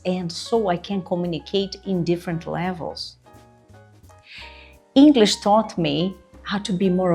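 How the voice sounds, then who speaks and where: Slow, low-voiced woman with Brazilian accent. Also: a little mellow, breathy edge. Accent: Brazilian Portuguese. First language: Portuguese. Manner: earnest, emphatic, checks in with "right?". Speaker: interview coach. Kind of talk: instructional video talk.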